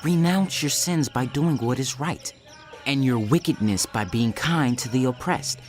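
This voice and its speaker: A male actor plays an earnest prophet of ancient times in an audio Bible drama. He counsels his king with solemn, pleading, declamatory delivery at a medium pitch, with music playing underneath. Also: a little slow, close to an everyday voice. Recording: loud music behind the voice.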